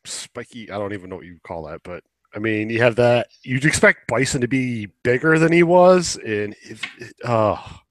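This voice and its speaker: A man with a mid-pitched voice chats casually as a podcast co-host. He is informal, blunt and opinionated, recorded dry with very little room sound. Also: lively intonation, normal speed, no echo. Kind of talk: casual chat and commentary.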